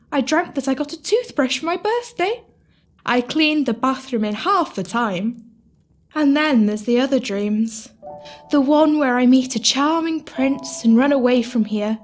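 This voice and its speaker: A woman playing a sweet, wistful, eager downtrodden young girl in an audio pantomime, earnest and wide-eyed. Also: sits high. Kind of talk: pantomime audio drama acting.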